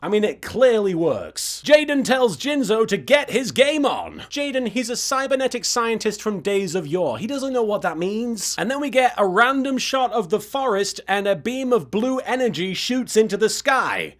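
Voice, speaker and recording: A man's voice: high voice, big pitch swings, moderate pace, hardly any pauses, faint music behind. A man hosting a scripted comedy recap of an anime, with a sarcastic, sweary manner. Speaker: host of an anime recap series.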